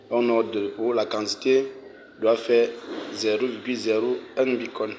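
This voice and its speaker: A man with a low, fairly monotone voice guides viewers step by step through a screen demo. He repeats himself, drops in frequent "ok"s and hesitations, and keeps clearing his throat. A faint hiss sits under the recording. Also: a little slow. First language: French. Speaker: channel host giving a tutorial. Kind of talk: casual screen-recorded walkthrough.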